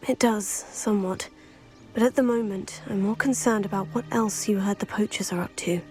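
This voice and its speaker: A woman's voice: mid-range voice, fairly even intonation, moderate pace, darkish tone, faint music behind, close to an everyday voice. A woman playing an earnest, capable teenage student witch with a British accent in a video game. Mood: reassuring. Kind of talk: scripted video game acting.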